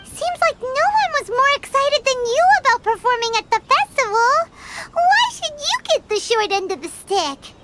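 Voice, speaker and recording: A woman voicing a tiny, childlike fairy companion in a game, squeaky, excitable and quick to take offence. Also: heavy background noise. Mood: indignant. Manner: refers to herself by name, exclamatory. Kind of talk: cartoonish game voice acting. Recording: loud music behind the voice.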